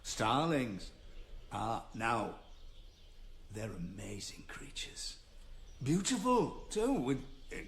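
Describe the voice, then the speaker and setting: A gruff, wary birdwatcher in a radio drama. His fairly high voice moves slowly and haltingly, with lively rises and falls. There is some outdoor noise behind him. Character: gruff reclusive male birdwatcher, wary but softening. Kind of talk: naturalistic radio drama acting.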